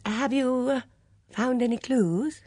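A woman's voice: on the high side, slow pace, mellow, no echo, clean studio sound, pitched above the performer's own voice. A woman voicing a good-natured, vague, middle-aged lady in an audiobook, breathless, hesitant and rambling as she dithers. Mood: eager, breathless.

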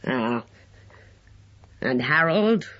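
A male narrator playing a gleeful, spiteful bedridden old man who crows and laughs in a high whinny, on a dull lo-fi recording. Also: sing-song, extremely slow. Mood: grumbling. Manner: high whinnying cackle, grumbling.

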